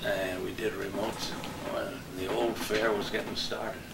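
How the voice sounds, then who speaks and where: A man's voice: on the low side, little pitch movement, a little slow, gravelly. An older man, a veteran radio broadcaster, casually recalling old times. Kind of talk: casual reminiscing. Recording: echoing room, distant mic, noisy.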